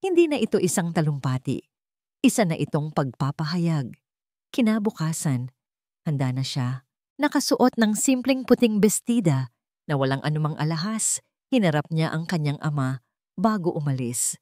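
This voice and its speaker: A woman's voice: low voice, sing-song, moderate pace, long pauses, dark tone, no echo, studio quality. A woman reading a scripted, dramatic story as its narrator.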